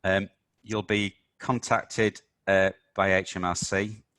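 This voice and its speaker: A man hosting a business webinar, a little croaky from talking all week, explaining with many ums and uhs. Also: low voice, little pitch movement, slow, fairly bright tone, no room sound. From United Kingdom. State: slightly croaky voice. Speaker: webinar host, accountant.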